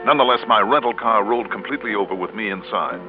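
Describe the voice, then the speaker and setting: Male actor as tough, clipped American private investigator narrating a vintage radio drama. Lowish voice, thin band-limited sound. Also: fast, phone-quality sound, over music, close to an everyday voice. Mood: wry, narrating. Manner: clipped, wry, hard-boiled. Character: cool tough insurance investigator narrating his case. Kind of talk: classic American radio drama acting, first-person narration.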